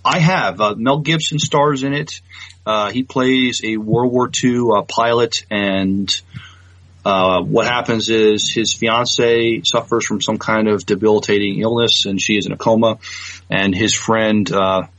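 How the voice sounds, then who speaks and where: A man's voice: fairly low pitch, steady tone, unhurried, fairly bright tone. A man co-hosting a podcast in casual chat, hesitant and thinking aloud as he goes. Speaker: podcast co-host. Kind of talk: casual chat about films. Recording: dull, lo-fi, dry sound.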